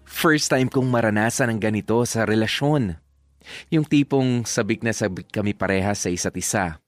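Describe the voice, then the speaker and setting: Man as low-voiced, sentimental lovestruck storyteller. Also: moderate pace, no echo, faint music behind, lightly acted. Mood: fond, sentimental. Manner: sentimental, reflective. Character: lovestruck man narrating his past romance. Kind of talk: scripted dramatic first-person narration.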